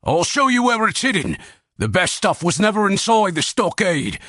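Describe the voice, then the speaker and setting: A man's voice: a little high, sing-song, takes it slowly, bright, forceful tone, dry recording, clean studio sound, full character voice. A man voicing a wounded, hateful male bandit chieftain who growls threats and begs in panic. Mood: panicked pleading. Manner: growling, then pleading in panic.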